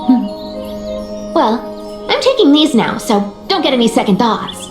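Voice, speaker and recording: Cheeky young female thief, brash and teasing, bright high pitch swings, unhurried, video game scene with music. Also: sing-song, roomy sound. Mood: playful, eager. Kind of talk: acted video game dialogue. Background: background music.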